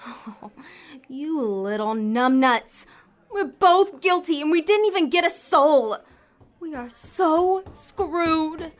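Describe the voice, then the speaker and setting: Woman voicing a brash female damned soul, high, slow emphatic griping, slangy, audio drama. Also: expressive, soft background music. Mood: exasperated. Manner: blunt, exasperated, slangy.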